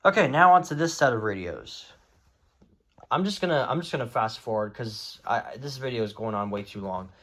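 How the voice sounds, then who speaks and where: Guy doing a casual show-and-tell video, rambly, gets exasperated easily, mid-pitched voice. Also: animated, normal speed, a bit bright. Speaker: hobbyist video creator presenting a radio collection.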